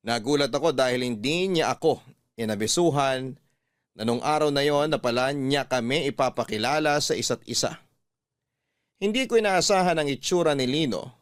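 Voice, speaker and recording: A man's voice: medium pitch, normal speed, studio quality. Male storyteller with echoing voice. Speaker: radio drama narrator. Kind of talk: scripted first-person story narration.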